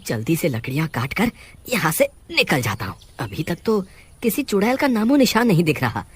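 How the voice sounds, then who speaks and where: Voice actor as a stammering frightened woodcutter. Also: male voice, high-pitched, big pitch swings, normal speed, husky, exaggerated voice. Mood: worried. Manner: nervous, stammering. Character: fearful poor male woodcutter. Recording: music behind the voice.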